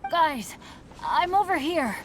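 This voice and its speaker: A woman voicing an earnest, luckless teenage boy adventurer in an expressive anime game style. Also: high-pitched, takes it slowly, heavy background noise, exaggerated voice. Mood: shaky, dazed.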